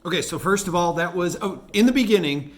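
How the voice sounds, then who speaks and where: Casual man with a higher-pitched voice. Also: animated, brisk. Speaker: animator and video host. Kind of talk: casual retrospective commentary.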